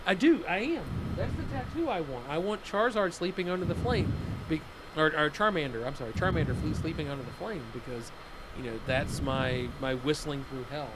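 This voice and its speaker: Male streamer, lively up-and-down delivery, slightly high voice, rambling and excitable, noisy game audio behind. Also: brisk. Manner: excitable, rambling, restarts sentences. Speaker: livestream host. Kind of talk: casual gaming chat.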